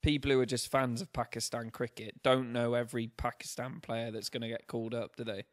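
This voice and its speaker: A man's voice: medium pitch, little pitch movement, brisk, dry recording, studio quality. A man with a nasal voice, stuffy with a cold, co-hosting a casual podcast chat and joking a lot. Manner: jokey, laughs often, says mate. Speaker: podcast co-host.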